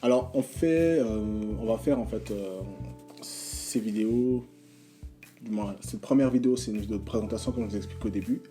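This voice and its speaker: Dark, halting French-speaking man. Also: fairly low pitch, faint music behind. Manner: halting, many fillers and restarts. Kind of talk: hesitant casual presentation.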